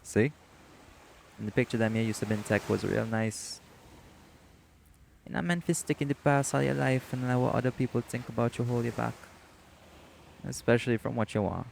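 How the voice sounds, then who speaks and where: Man playing creaky-voiced Caribbean shifter boyfriend. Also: medium pitch, moderate pace, long pauses, darkish tone, slight background noise, close to an everyday voice. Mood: earnest, encouraging. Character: teasing, protective, encouraging male shapeshifter boyfriend. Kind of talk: romantic audio roleplay acting.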